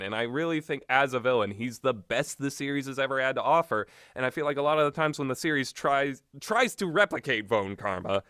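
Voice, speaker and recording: A man's voice: medium pitch, a bit bright, dry recording. Casual man rambling, dry close sound. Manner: blunt, casually profane. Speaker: video game commentator. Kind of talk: casual rambling opinion talk.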